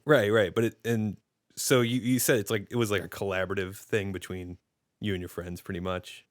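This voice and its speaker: A man hosting a podcast interview over a video call, asking rambling, halting questions full of restarts. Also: lowish voice, flat delivery, dry recording, studio quality. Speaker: podcast host. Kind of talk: casual interview chat.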